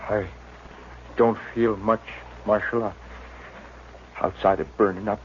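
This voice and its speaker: An actor playing a stoic, gravely ill frontier father, weak and halting, in a 1950s radio western. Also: on the low side, level tone. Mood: resigned, weak. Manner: halting, resigned. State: weak, feverish, as acted. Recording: dull, faintly noisy vintage radio recording.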